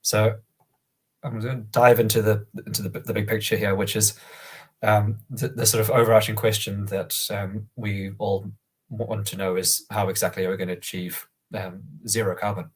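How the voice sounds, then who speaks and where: Man, croaky from a cold, low husky voice, flat delivery, halting with many ums, video call. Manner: hesitant, many ums and restarts. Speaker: presenter. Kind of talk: online presentation.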